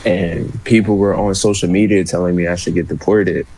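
A young man from Washington, D.C., speaking as a guest on a radio call-in, relaxed and full of filler words. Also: low voice, moderate pace. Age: young adult. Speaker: phone-in guest. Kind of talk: casual phone conversation.

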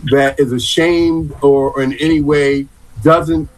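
A man speaking as a guest on a radio panel, measured and reassuring, stuttering as he starts a point. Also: fairly high pitch, fairly even intonation, rough recording. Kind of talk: call-in radio panel talk.

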